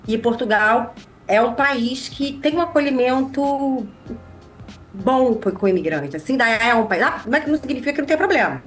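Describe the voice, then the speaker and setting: A woman's voice: fairly high pitch, animated, normal speed, faint music behind. A woman with a Brazilian accent chatting casually as an interview guest, her voice slightly nasal and congested from a cold. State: mild cold. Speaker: podcast guest, immigration lawyer. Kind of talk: casual chat.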